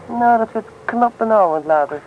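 An actress as a high-voiced, headstrong young woman. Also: expressive, normal speed, narrow, tinny audio, natural voice. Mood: frank, reflective. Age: young adult. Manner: teasing, blunt, assertive. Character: headstrong, teasing young woman student, self-assured daughter of businessman. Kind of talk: radio play acting, naturalistic dialogue. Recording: noisy old radio play recording.

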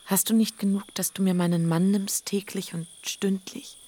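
A woman's voice: mid-range voice, steady tone, normal speed, warm tone, lightly acted. An actress playing Lea, a proud elder sister and mother of sons, possessive and secretive, in a radio drama. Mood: guarded.

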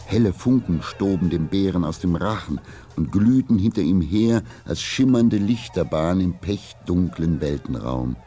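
A man narrating a children's radio play as a calm storyteller, reading a scripted adventure tale. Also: low voice, a little slow, soft background music. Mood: calm, vivid storytelling.

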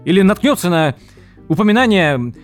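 An actor playing a nephew who tells his story in expressive, dramatised first-person narration for an audio drama. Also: high voice, moderate pace, soft background music. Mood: worried. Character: storytelling nephew recounting his odd uncle's troubles. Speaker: narrator and character.

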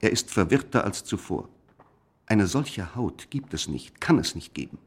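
A man narrating a scripted radio play in a measured, literary manner. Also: on the low side, big pitch swings, slightly rough.

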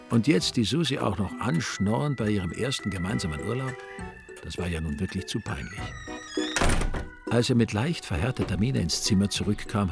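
Low, gravelly, wry male narrator. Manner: dry, ironic. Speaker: narrator of a radio drama. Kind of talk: scripted, wry literary narration.

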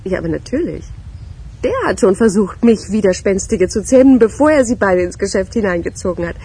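Dark-toned, wryly self-possessed woman. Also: medium pitch, expressive, brisk, breathy edge. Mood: amused, teasing. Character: self-possessed, teasing woman, dryly ironic and inquisitive. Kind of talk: German radio crime drama acting.

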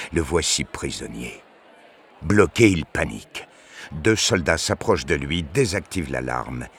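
A man's voice: extremely low pitch, a bit bright, slight background noise. A man reading scripted narration for an audio drama.

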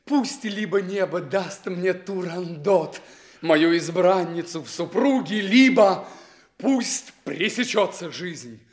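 Noble, high-voiced ardent prince. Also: male voice, animated, slow. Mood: impassioned, resolute. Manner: lofty, solemn, heroic. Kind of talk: declaimed theatrical verse, radio play.

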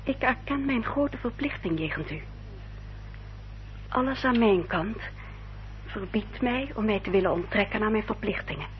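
A woman acting an earnest, dutiful young upper-class lady in a radio drama, speaking formally and with careful, measured diction. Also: mid-range voice, animated, lightly acted. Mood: solemn, composed. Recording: dull, noisy old radio recording with hum.

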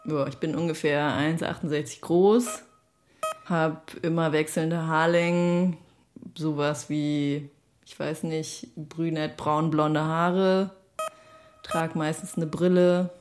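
A woman's voice: sits low, fairly even intonation, slow pace, a little mellow, slight background noise. A woman in her early thirties casually introducing herself. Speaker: school inclusion assistant.

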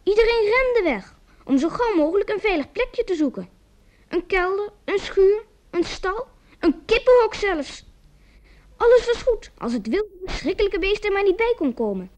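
A woman playing a dramatic, expressive storyteller narrating a radio play. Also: very high-pitched, normal speed. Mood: dramatic, excited. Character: lively storyteller recounting village disaster, woman.

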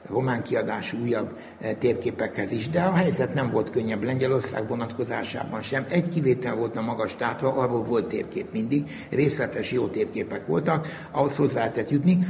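Male interviewee, mid-pitched, detailed explanatory recollection, recorded in a long-reverb room with faint noise. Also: gravelly, darkish tone. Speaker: interviewee recalling the history of maps. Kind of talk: spoken reminiscence, explanatory.

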